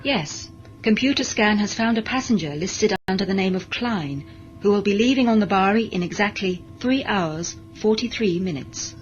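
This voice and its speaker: A woman's voice: medium pitch, expressive, moderate pace, close to an everyday voice. Woman acting emotionless cyborg lady, precise n formal, obedient, lo-fi radio play w faint music. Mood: dutiful, businesslike. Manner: formal, detached, precise, deferential. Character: emotionless modified female cyborg servant, dutiful. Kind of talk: science fiction audio drama acting. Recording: dull lo-fi audio drama recording, music behind.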